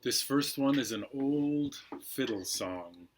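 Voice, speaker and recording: A man with a medium-pitched voice hosts a bedtime storytime for children. He speaks slowly, with deliberate, unhurried phrasing, as a storyteller does when reading aloud. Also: expressive, over loud music, low-quality recording. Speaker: library storytime host.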